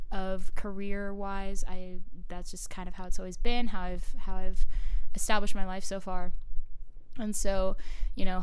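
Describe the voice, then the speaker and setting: A woman's voice: mid-range voice, clear, resonant tone, poor audio. A woman in her twenties from Canada, with a Canadian accent, hosting a casual solo podcast in a friendly, chatty way. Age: 20s. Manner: chatty, friendly, many ums and little laughs. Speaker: podcast host.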